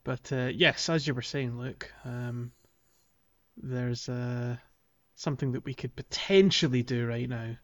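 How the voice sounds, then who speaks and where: A man with a mid-pitched voice chats as a podcast co-host about a game playthrough. He explains things step by step in a casual way, pausing and hesitating as he gathers his thoughts.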